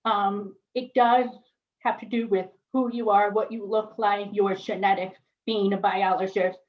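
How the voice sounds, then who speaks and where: A woman speaking on a panel in a calm, reflective manner, moving between her own story and her ideas. Her voice sits at a middle pitch. It comes through a muffled, lo-fi video call. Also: measured pace. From born in South Korea, raised near Chicago. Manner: thoughtful, reflective, light laughs. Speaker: panelist, biologist. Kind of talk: personal storytelling in a panel conversation.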